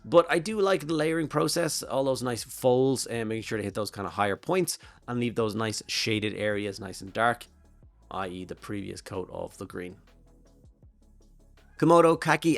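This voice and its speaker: A hoarse Irish man with a flu-worn voice talks casually through a miniature painting tutorial, rambling and thinking aloud with plenty of fillers. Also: lowish voice, dry recording. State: hoarse from a flu and throat infection. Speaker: miniature painting channel host.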